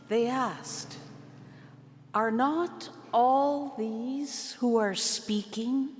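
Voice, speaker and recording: A woman giving a dramatic scripture reading at a church service, with theatrical, deliberate phrasing and long pauses. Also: high-pitched. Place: large church. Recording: long church reverb, music playing behind. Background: music.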